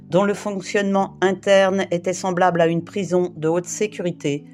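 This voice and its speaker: A woman from the Paris region, in her late fifties or older, gives a prepared first-person testimony in a grave, deliberate way, piling up short phrases one after another. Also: on the low side, darkish tone. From Île-de-France, France. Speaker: interviewee giving testimony.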